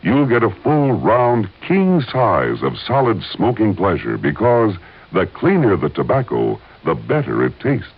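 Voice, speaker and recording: A man's voice: on the low side, huge pitch swings, measured pace, husky, phone-quality sound. An American man reading a 1950s radio advertisement as an announcer, with an emphatic, polished sales delivery. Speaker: commercial announcer. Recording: thin, band-limited old radio recording.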